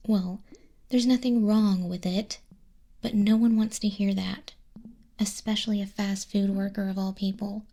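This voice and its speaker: A woman playing a sardonic, bored demon clerk in a whispered ASMR roleplay, soft-spoken and condescending, close to a binaural microphone. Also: mid-range voice, flat delivery, unhurried. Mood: scolding, dry. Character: sardonic, bored female demon clerk registering sinners in Hell.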